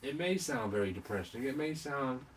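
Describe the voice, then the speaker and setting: A man in his late thirties hosting a solo podcast in a rambling, unscripted monologue, haltingly repeating and rephrasing himself and putting questions to the listener. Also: medium pitch, animated. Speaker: podcast host. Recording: distant mic with faint background noise.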